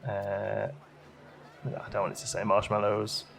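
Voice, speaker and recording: Low, creaky man musing aloud. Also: monotone, unhurried. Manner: musing aloud, chatty, self-questioning. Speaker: let's play host. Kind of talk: casual gameplay commentary.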